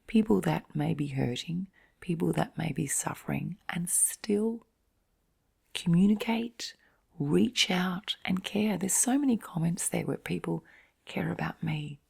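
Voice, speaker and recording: A middle-aged woman in a soft, whispery, breathy voice, giving a gentle, reflective bedtime chat in an intimate ASMR-like style. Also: fairly low pitch, expressive, measured pace. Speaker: host of relaxation and sleep channel.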